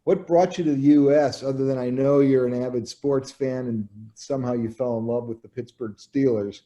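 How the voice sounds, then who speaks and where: Mellow-voiced male interview host. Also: mid-range voice, steady tone, normal speed. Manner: upbeat, lightly joking introductions and long questions. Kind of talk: hosted interview.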